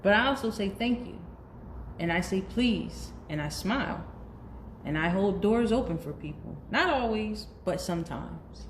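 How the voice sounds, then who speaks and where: A woman's voice: medium pitch, lively intonation, a little slow, close to an everyday voice. A woman voicing a moody but earnest bad seed in a children's storybook, telling his story confessionally and dramatically. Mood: earnest, hopeful. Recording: roomy echo, faint background noise.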